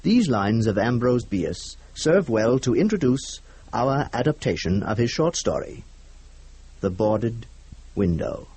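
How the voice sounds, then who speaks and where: A man's voice: mid-range voice, big pitch swings, slow, a little mellow, husky. A man giving a formal literary introduction as a radio drama announcer, in a solemn, theatrical recitation.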